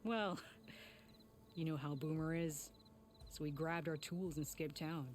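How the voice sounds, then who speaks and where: A woman's voice: low voice, fairly even intonation, a little slow, darkish tone, a little hiss or hum. An actress playing a worried, guilt-ridden female tinkerer in a video game, speaking in an earnest, wry, conversational way. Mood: sheepish, wry. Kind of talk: video game character acting. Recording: faint music behind the voice.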